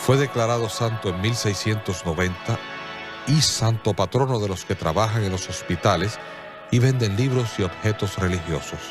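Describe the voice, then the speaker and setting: A man narrating a scripted radio drama in a solemn, measured storytelling manner. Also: on the low side. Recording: clear music bed behind.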